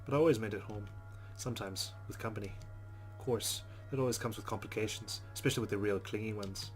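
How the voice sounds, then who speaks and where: A man's voice: lowish voice, fast, faint music behind, natural voice. A man reading the first-person thoughts of a rueful young Irish woman in a visual novel, with a put-on Irish accent. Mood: wry.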